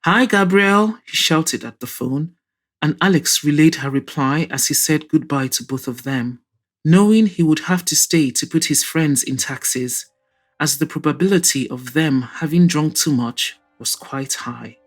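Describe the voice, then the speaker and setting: A woman's voice: low voice, a little slow. A woman narrating a scripted audio drama as its author and reader.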